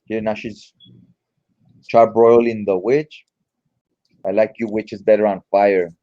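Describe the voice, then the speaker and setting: A man's voice: lowish voice, little pitch movement, slow, mellow, lo-fi sound. A man giving casual read-along comic commentary in an informal, slangy, mildly profane way. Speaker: comic book reviewer.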